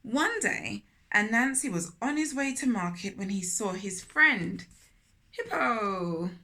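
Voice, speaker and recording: Slow, very animated woman storyteller. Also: mid-range voice. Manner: expressive, engaging listeners with questions. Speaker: children's storyteller, host. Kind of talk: read-aloud children's story with chatty asides.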